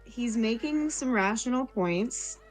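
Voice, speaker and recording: A woman playing a tabletop game, casually thinking aloud about her character in a reflective, halting way. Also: on the high side, expressive. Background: background music.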